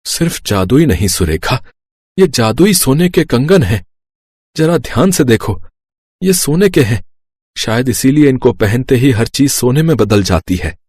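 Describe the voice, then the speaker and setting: A plain, hardworking village father from a cartoon story, talking in a matter-of-fact, reassuring way, as a man voices him. His voice is mid-pitched and sounds dry and close. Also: big pitch swings, a little slow, darkish tone, husky, no room sound. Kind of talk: cartoon voice acting.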